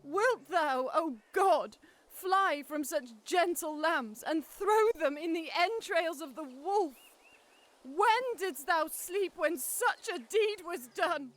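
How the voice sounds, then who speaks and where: A widowed queen in deep grief. Her voice is high and slow as she laments and pleads. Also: expressive, exaggerated voice. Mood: grieving. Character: grieving widowed queen mourning her sons. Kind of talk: Shakespearean audio drama acting.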